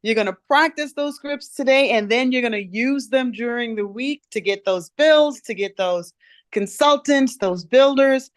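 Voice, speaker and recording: Woman call facilitator, light Trinidadian accent, higher voice, dry sound, encouraging. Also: normal speed, dry recording. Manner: encouraging, emphatic repetition. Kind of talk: upbeat motivational call.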